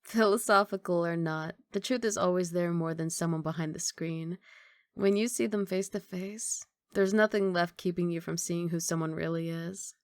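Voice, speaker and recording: A woman acts out a young mafia boss girlfriend with a lowish voice and a very dry, close sound. She is confident and doting, teasing her partner playfully in an intimate one-sided ASMR roleplay. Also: fairly even intonation, moderate pace, smooth, dry recording, lightly acted. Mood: intimate, sincere.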